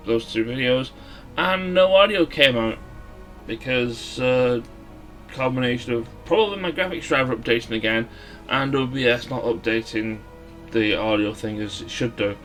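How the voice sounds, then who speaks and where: Rambling man doing casual game commentary over music. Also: mid-range voice. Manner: rambling, hesitant, self-correcting. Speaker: Let's Play host.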